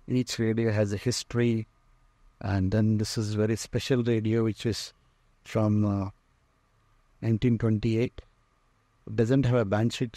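A man with an Indian accent giving an informal guided tour as a museum owner, speaking haltingly with frequent pauses. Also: on the low side, dark tone, no echo. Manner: halting, pausing often mid-phrase. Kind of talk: informal narrated walk-through tour. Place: indoor museum and workshop room full of shelves.

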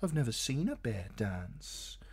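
A man's voice: mid-range voice, very animated, unhurried, gravelly, husky, pitched above the performer's own voice, heavily acted. A man voicing a sulky young woman who pleads with her lover. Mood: eager.

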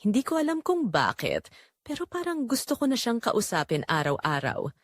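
A woman's voice: a little high, huge pitch swings, moderate pace, dry recording, clean studio sound. A woman playing a young graduate working as a barista, telling her own story reflectively in a scripted audio drama. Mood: tender, wistful. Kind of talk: first-person scripted audio drama narration.

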